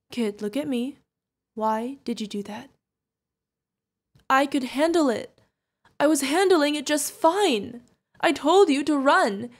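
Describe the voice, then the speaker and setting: A woman's voice: on the high side, a little mellow. A woman playing a fierce yet tender witch mentor who is protective of an adopted pupil, in an emotional fantasy audio roleplay. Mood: distraught, scolding. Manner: emotional, commanding, affectionate, pleading. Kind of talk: ASMR fantasy roleplay acting.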